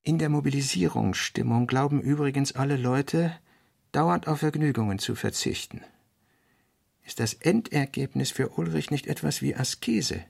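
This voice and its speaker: A male actor in a literary radio play, voicing a brooding thinker who works through sketched notes in halting, pensive fragments with emphatic bursts. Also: mid-range voice, vocal fry, a little mellow, slightly rough.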